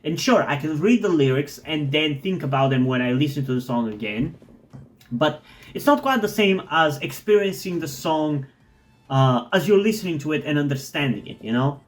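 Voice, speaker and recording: A man's voice: a little high, expressive, normal speed. A non-native English-speaking man giving a thoughtful, rambling reaction to a song, full of fillers and restarts. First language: not English. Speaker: music reactor. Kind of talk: casual music reaction commentary.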